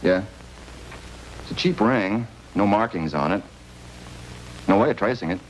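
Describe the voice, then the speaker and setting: A methodical, low-voiced police lab man. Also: lively intonation, a little slow, a little hiss or hum, low-quality recording. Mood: matter-of-fact. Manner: plain, methodical. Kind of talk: 1950s radio crime drama.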